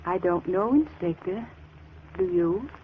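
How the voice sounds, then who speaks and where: A woman's voice: lowish voice, lively intonation, measured pace, narrow, tinny audio. An actress playing a composed, precise woman giving a polite, matter-of-fact report to police in a 1940s radio crime drama. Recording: noisy, very thin old radio recording.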